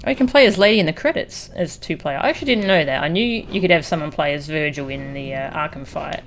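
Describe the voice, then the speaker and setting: A woman's voice: deep voice, expressive, fast. A woman streaming a video game with casual, off-the-cuff playthrough commentary. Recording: faint game music behind. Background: video game audio.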